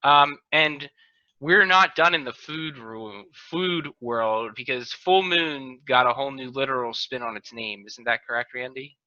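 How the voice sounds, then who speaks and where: A man's voice: medium pitch, a little slow, sharp tone. A man hosting a casual panel chat, recorded over a slightly dull video call. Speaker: podcast host.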